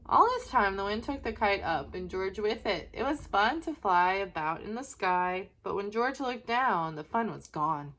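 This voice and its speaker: Female children's story reader, medium pitch, slow delivery, expressive, chatting to young listeners. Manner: expressive, asking the listener questions. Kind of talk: read-aloud storybook narration with asides to young listeners.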